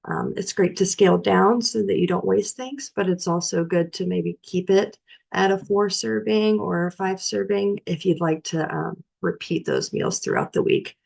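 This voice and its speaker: A young woman, a nutrition educator, giving a relaxed, practical cooking talk with frequent fillers, her voice raspy and hoarse from a cold. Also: mid-range voice, moderate pace. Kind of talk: informal library talk on cooking.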